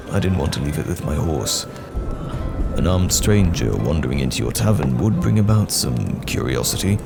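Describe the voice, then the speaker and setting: Very deep, gravelly wandering knight played by a man. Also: full character voice. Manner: measured, courteous, old-fashioned phrasing. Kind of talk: fantasy audio roleplay acting. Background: music.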